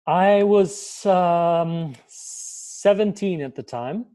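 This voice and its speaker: A man's voice: high-pitched, extremely slow, clean tone, no room sound. A young adult man from Germany, whose first language is German, answering interview questions in English with energy and plenty of fillers. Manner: energetic, enthusiastic, many fillers. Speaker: guest, life coach.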